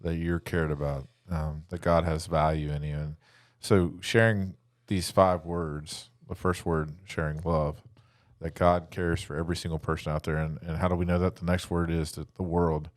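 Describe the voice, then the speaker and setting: A man's voice: low voice, flat delivery, moderate pace, hardly any pauses, no echo, clean studio sound. A man chatting casually and explaining things in a plain-spoken way, like an informal Bible teacher on a podcast. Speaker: podcast co-host giving a short devotional.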